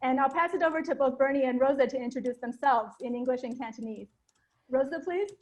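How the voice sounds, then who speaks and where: A woman from North America hosting a live online event, speaking in a friendly, clear way with small fillers. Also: sits high, fast, a little mellow. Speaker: host.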